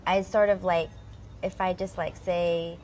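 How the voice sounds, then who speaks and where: A woman's voice: lowish voice, flat delivery, unhurried, a little mellow, slight background noise. A young woman from Southern California, chatting casually as a guest singer and saying "like" and "um" often. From Southern California, USA. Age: young adult. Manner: chatty and friendly, many "um" and "like". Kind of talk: casual interview answers.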